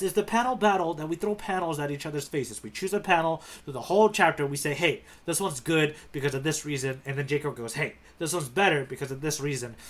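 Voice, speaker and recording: A man's voice: on the high side, a bit bright. A man co-hosting a podcast in casual, playful, jokey banter about manga. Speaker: podcast co-host. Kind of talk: casual comedic manga discussion.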